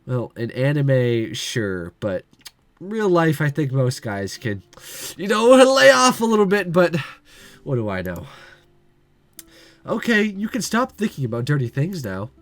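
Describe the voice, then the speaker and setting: A man's voice: a little high, sing-song, unhurried, no room sound, soft background music. Guy doing a visual novel playthrough, rambly and jokey, dry close sound. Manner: rambling, joking, many fillers. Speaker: let's play host.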